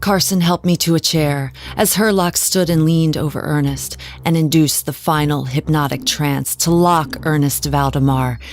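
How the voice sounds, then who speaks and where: An actress playing a haunted, genteel wife who tells her story in a measured, dramatic way in an audio drama. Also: low-pitched, fairly even intonation. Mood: haunted, grave. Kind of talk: scripted audio drama narration.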